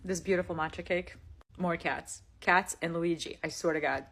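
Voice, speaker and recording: A woman's voice: fairly low pitch, steady tone, normal speed, a bit bright. A woman in a social media clip, chatty and amused, walking through her app feed. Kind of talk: casual app walkthrough.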